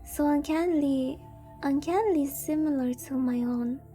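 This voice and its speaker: A woman's voice: high voice, moderate pace, dark tone, faint music behind, lightly acted. A woman voicing a naive young visual-novel heroine who narrates her own story in an earnest, literary way. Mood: shaken, wondering.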